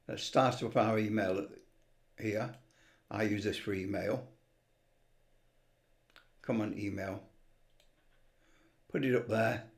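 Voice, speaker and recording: A man giving a casual, unscripted screen tutorial, rambling a little and muttering to himself as he works. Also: medium pitch. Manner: unscripted, rambling, talks to himself while working. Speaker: amateur video presenter, CB radio hobbyist.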